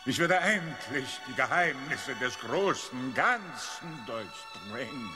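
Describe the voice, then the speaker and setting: A man's voice: medium pitch, animated, takes it slowly. A man playing a grumpy, brooding professor in a radio play, declaiming theatrically. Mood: grave, solemn. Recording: long echoing reverb, music behind.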